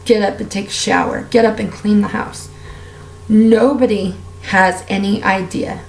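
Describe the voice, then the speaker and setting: Woman, raspy strained voice, candid rambling vlog talk, echoey room. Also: medium pitch, fairly even intonation, a little slow, a little hiss or hum. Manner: candid, heartfelt, rambling with fillers. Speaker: beauty vlogger. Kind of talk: casual personal rant while doing makeup.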